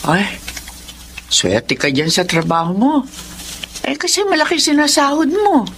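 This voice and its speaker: A woman's voice: high-pitched, wildly swooping pitch, a little slow, a bit bright, a little hiss or hum, heavily acted. An actress playing a chatty, trusting middle-aged Filipino mother in a radio drama. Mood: curious, grateful. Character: grateful, trusting, chatty mother of a poor family.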